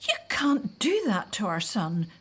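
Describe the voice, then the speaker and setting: An actress in a scripted audio drama playing a frightened Hebrew mother, hesitant and often hushed as she talks to her husband. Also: mid-range voice, wildly swooping pitch, unhurried, slightly rough, heavily acted. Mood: frightened, pleading.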